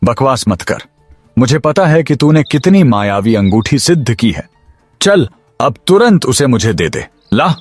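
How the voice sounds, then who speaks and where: A man voicing a proud, commanding king in an animated moral story. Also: medium pitch, measured pace, no echo. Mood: menacing. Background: music.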